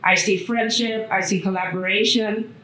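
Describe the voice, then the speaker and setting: Loud bright-voiced woman, middle-aged panelist, echoey room. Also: mid-range voice, steady tone, moderate pace. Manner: loud voice, warm and self-deprecating. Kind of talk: panel discussion talk. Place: hotel event room at a brunch.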